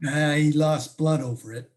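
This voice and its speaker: A woman's voice: low voice, steady tone, normal speed, darkish tone, close to an everyday voice. A woman acting a prying, incredulous close friend in a conversational comic one-act, with teasing, probing questions.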